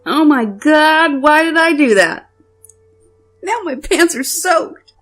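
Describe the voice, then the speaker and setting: A woman's voice: sits high, animated, takes it slowly, fairly bright tone, faint music behind, exaggerated voice. A woman voicing a mischievous, bossy boy prince with an accusing, questioning manner, in an audio drama. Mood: indignant.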